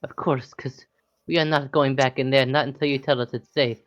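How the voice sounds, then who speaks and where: A game master voicing a frightened young girl who is watching over her little brother, pleading in halting bursts. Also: mid-range voice, fairly even intonation, dull audio, lightly acted. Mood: urgent. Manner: anxious, pleading, halting speech.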